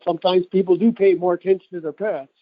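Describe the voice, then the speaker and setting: Middle-aged American male, slightly high voice, folksy rambling product pitch, thin phone-call sound. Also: fairly even intonation, fast, dry recording. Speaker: product promoter giving a testimonial on a conference call. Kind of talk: informal testimonial sales talk. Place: telephone conference call. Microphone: telephone. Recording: tinny band-limited phone line.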